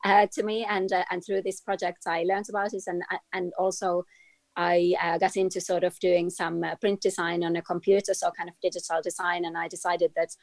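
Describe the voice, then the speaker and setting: Woman guest, low flat voice, dry sound, halting delivery. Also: no echo. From Finland. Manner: measured, many "uh" hesitations. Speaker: guest, trend forecaster. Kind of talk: casual interview.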